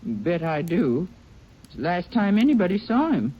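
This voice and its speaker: An actress playing a brisk, helpful country feed-store clerk, chatty and sure of herself, in a 1950s radio drama. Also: female voice, fairly low pitch, very animated, breathy edge. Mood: helpful, certain. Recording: dull, noisy old radio recording.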